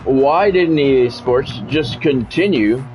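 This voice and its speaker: A man's voice: mid-range voice, expressive, slow, darkish tone. A man giving casual, rambling, conversational commentary as he hosts a football video game stream. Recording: dull lo-fi audio with music behind.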